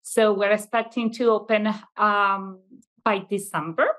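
A woman's voice: medium pitch, little pitch movement, unhurried, fairly bright tone. A middle-aged woman from Venezuela, whose first language was Italian, speaking with a Venezuelan accent as an interview guest. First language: Italian first language, raised in Venezuela. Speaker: interview guest, childcare school owner. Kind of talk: conversational interview answers. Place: classroom, video call.

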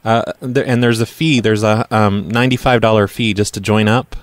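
A man's voice: on the low side, fairly even intonation, normal speed, no room sound, lightly acted. A man playing a blundering, casually unapologetic lawn care worker on a prank call, hesitant but blunt in the way he talks. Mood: blunt, defensive. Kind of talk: improvised prank phone call.

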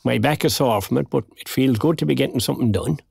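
A recently retired Irishman with a gravelly edge to his voice. He talks colloquially and with wry good humour, in a naturalistic audio drama, recorded close and dry. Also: medium pitch, fast, darkish tone, dry recording. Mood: amused gossip. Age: older.

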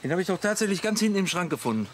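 Blustering husband, very animated. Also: male voice, sits high, very fast, a little hiss or hum. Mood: defensive. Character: stubborn, boastful middle-aged husband and car mechanic. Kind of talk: German audio drama dialogue.

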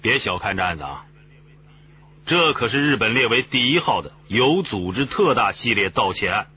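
An actor playing a seasoned police chief who explains things in a measured, authoritative way in a radio drama. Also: male voice, fairly low pitch, very animated, sharp tone. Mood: measured, serious. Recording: slightly dull, noisy recording.

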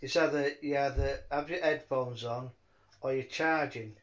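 A man chatting casually in a rambling, halting way, often repeating his words. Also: mid-range voice, steady tone. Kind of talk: rambling casual phone chat.

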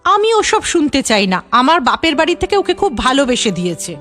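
Lady doing an earnest village wife for a cartoon, high voice that goes up and down a lot. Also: big pitch swings, brisk, fairly bright tone, faint music behind. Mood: firm. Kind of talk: cartoon voice acting.

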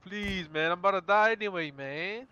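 A man's voice: high voice, brisk, bright, forceful tone, dull audio. A man from New Zealand chatting casually and informally as a player in a game's voice chat. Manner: informal, full of dude, man and bro. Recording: very noisy game voice chat, clicks.

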